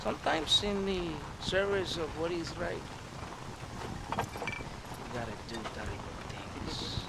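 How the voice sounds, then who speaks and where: A man's voice: fairly high pitch, sing-song, slow, creaky, heavy background noise, full character voice. A man playing a theatrical Mexican army captain in a video game, menacing yet jovial, with a Mexican accent. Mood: grave, lamenting. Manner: theatrical, swinging from mock threat to lament. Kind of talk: video game character acting.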